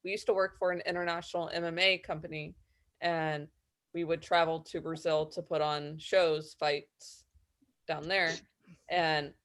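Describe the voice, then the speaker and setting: A woman's voice: sits low, level tone, measured pace, fairly bright tone. A woman co-hosting a webcast in casual conversation. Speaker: webcast co-host. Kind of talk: casual chat. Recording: clearly noisy background.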